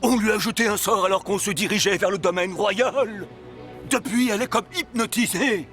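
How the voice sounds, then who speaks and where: A man's voice: sits high, normal speed, a bit bright, faint music behind. A man voicing an earnest, worried and loyal talking horse in a French cartoon dub. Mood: earnest, sad.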